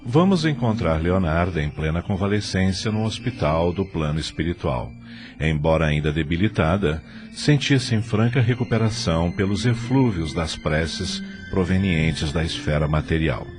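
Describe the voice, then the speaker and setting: A man's voice: sits low, expressive, moderate pace, over music. A man with a Brazilian Portuguese accent giving solemn, scripted storytelling narration for an audio drama.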